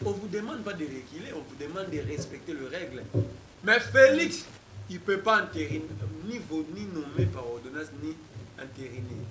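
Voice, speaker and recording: Guy arguing politics on a talk show, keeps repeating names, bit high voice, echoey room with lots of noise. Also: very animated, moderate pace, crowd noise. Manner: emphatic, mixes French and Lingala, repeats names insistently. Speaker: political commentator guest.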